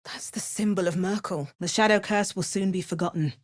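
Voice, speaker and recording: A woman's voice: medium pitch, a little fast, no room sound, lightly acted. An actress playing a wry, battle-hardened young female adventurer in a fantasy video game, delivering dry asides. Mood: grim, weary. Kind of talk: video game character voice lines.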